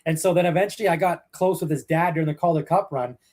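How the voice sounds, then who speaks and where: Chatty Canadian man, slightly high voice. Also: fast talker, a little mellow. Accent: Canadian English. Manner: chatty storyteller, many fillers and restarts. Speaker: hockey play-by-play broadcaster, guest. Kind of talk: casual interview answers.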